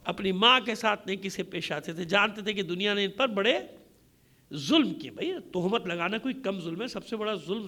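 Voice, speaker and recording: A man's voice: high-pitched, bright, forceful tone. A man preaching an impassioned majlis sermon in a combative, declamatory way, using rhetorical questions and emphatic repetition. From Pakistan.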